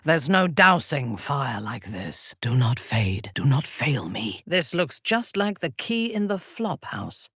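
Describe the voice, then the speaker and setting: A woman playing an imperious, ruthless female warrior cleric in a fantasy video game, with haughty, deliberate, theatrical delivery and a husky, breathy voice. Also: a bass voice, sing-song, a bit bright, no echo, clean studio sound, exaggerated voice. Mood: haughty, dry. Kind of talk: dramatic fantasy game voice lines.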